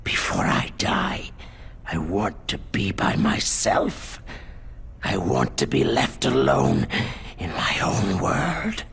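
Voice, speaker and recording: An actor playing a dying psychic villain in a video game, sinister and mournful, with a breathy rasp and slow, theatrical delivery. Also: male voice, fairly low pitch, big pitch swings, a bit bright, slight background noise, full character voice. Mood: dying, wistful. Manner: slow, halting, dramatic phrasing. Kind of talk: video game cutscene acting.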